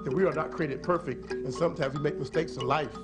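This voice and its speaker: A man voicing a humble, wise king in a folk tale, speaking in a measured, earnest way. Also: on the high side, fairly even intonation, over loud music, close to an everyday voice.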